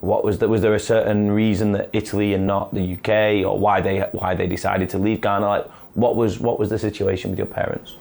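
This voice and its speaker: Upbeat low-voiced male podcast host. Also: flat delivery, a little fast, creaky, hardly any pauses, darkish tone. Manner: upbeat, encouraging, friendly. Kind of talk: podcast host intro and interview.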